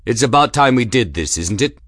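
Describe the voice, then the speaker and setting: A man acts out an arrogant young aristocrat for an audiobook. He is merry in his mockery and lofty in his complaints. His intonation is animated and swaggering. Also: mid-range voice, brisk, dry recording, clean studio sound, natural voice. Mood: smug mockery. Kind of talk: audiobook character voice.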